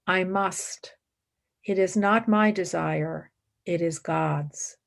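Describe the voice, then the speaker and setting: Actress as devout aspiring nun, radio play, measured and solemn, noticeable vocal fry, unhurried, resolute. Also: female voice, on the low side. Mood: solemn resolve. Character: resolute, serene, devout woman becoming a nun.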